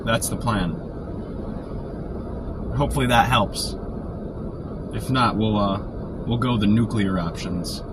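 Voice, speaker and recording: A man with a sore throat from a cold, keeping his voice quiet and low while chatting casually on a live stream from inside a parked car. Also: slow, long pauses. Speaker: storm chaser live-streaming. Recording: noisy car interior. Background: car and outdoor traffic noise.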